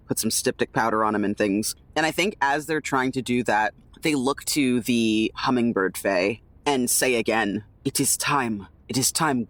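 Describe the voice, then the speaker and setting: A man's voice: mid-range voice, a bit bright, no echo. Male roleplayer, middle register, improvised in-character talk and action description, close dry recording. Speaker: tabletop game player.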